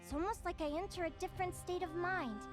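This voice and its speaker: A woman's voice: very high voice, fast, over music. A woman voicing a cheerful, friendly young winged changeling girl in a video game. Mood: upbeat, earnest. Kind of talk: English video game voice acting.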